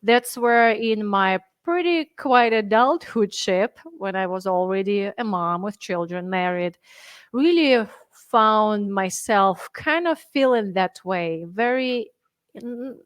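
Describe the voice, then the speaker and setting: A woman's voice: medium pitch, lively intonation, slow pace, clean tone, no room sound. Russian-accented woman coach, dry close sound. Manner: earnest, explains in steps, slips in grammar. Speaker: health and fitness coach guest. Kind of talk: conversational interview.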